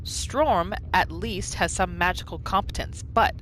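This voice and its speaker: A woman narrating a roleplay game as its host, casual and expressive, reacting aloud as she plays. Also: fairly low pitch, measured pace, slight background noise, low-quality recording. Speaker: gaming video host. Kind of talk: casual roleplay gameplay commentary. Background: game ambience.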